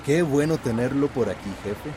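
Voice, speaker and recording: An actor playing a deferential, uneasy local construction worker in a radio drama. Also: male voice, mid-range voice, lively intonation, normal speed, over music, natural voice. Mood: respectful, uneasy.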